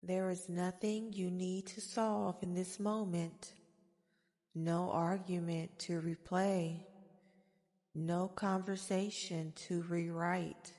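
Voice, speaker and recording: A woman guiding a meditation in a soft-spoken ASMR style, with soothing, gentle, deliberate phrasing. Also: on the low side. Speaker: guided meditation host. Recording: long reverberant echo.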